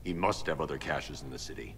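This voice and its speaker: A man's voice: very deep voice, quick pace, fairly bright tone, a little hiss or hum. A man playing a grim, stern vigilante crimefighter in a video game, speaking in terse commands. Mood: stern, controlled. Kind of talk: dramatic video game acting.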